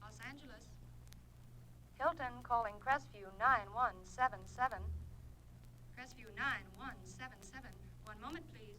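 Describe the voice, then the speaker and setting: An actress playing an impersonal telephone operator with a formal, clipped manner in a 1940s radio drama. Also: female voice, on the high side, moderate pace, close to an everyday voice. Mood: businesslike. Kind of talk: 1940s radio suspense acting. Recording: distant, faintly noisy 1940s radio disc.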